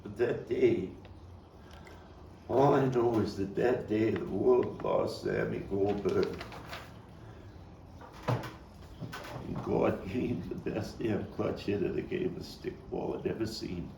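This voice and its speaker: Actor as slow, halting Brooklyn storyteller man, roomy recording. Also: lowish voice, lively intonation, creaky, heavily acted. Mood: mournful, wistful. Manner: halting, deliberate, with repeats and weighty pauses. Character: Brooklyn man recalling a haunted, violent 1950s boyhood. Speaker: actor and playwright reading his own monologue. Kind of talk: dramatic monologue at a table read. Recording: roomy, distant mic with faint background noise.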